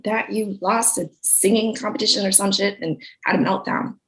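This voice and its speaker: Streetwise blunt friend, acted by a woman, gravelly and husky, slangy table-read comedy. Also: medium pitch, steady tone, moderate pace, fairly bright tone, rough recording. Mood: blunt, teasing. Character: blunt, streetwise, foul-mouthed friend talking sense. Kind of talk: screenplay table read acting.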